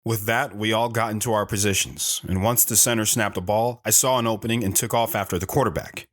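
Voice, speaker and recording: A man playing a radio show guest who tells his story in a calm, matter-of-fact way. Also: lowish voice, a little fast, natural voice. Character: plainspoken former high-school athlete.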